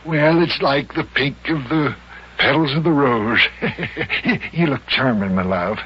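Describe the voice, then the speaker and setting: An actor playing a jovial old small-town mayor in love, with folksy, flustered, flowery talk in an old-time radio comedy. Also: male voice, mid-range voice, slow, husky. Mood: giddy, doting. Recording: muffled old radio show recording.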